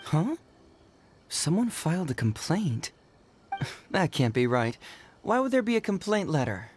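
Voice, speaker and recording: Youthful sleuth for a game, male; light, lively, casual and quick-witted, explaining things with bounce. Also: a little high, noisy background. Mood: amused disbelief. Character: breezy, clever young male detective. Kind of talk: video game voice acting. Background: game music.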